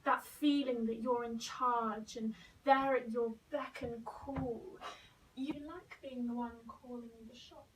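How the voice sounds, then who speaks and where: A woman plays a domineering, cruel mistress in an amateur stage drama. Her high voice is commanding and scornful, each order set out deliberately. She sounds a little distant from the mic, over faint noise. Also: steady tone. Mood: bossy. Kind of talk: amateur stage play acting, recorded at home.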